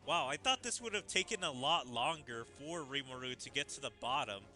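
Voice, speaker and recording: A man's voice: fairly high pitch, expressive, brisk, creaky, sharp tone, faint music behind. A man giving casual gaming commentary, thinking aloud in a musing way as he follows a story. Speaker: gaming video creator.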